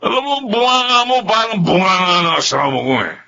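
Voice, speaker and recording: A man acting a drunk, belligerent, raspy neighborhood man who slurs and taunts in a Filipino radio drama. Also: high voice, big pitch swings, fast, bright, forceful tone, exaggerated voice. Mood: drunken, belligerent. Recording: dull, muffled audio.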